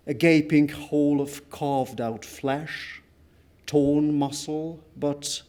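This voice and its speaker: A man giving a dramatic literary reading of a first-person account, solemn and deliberate, with weighty pauses. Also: medium pitch, darkish tone. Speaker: reader at a book launch.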